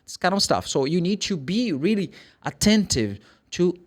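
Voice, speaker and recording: A man's voice: high-pitched, big pitch swings, moderate pace. A man from Brazil with a Brazilian accent, a native Portuguese speaker, teaching English pronunciation informally and emphatically, often answering his own questions. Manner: emphatic, asks and answers own questions, acts out short examples.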